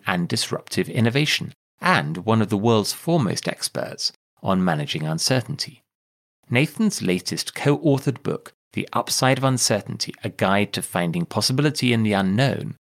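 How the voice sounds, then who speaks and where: Man, podcast host, low voice, animated pitch, measured scripted delivery. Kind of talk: scripted podcast introduction and interview.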